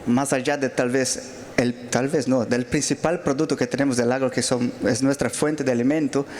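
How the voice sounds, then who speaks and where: A man's voice: medium pitch, fairly even intonation, normal speed, darkish tone. A man from Brazil with a Brazilian Portuguese accent speaking Spanish, giving closing remarks at a conference panel with many hesitant fillers and restarts. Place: large auditorium.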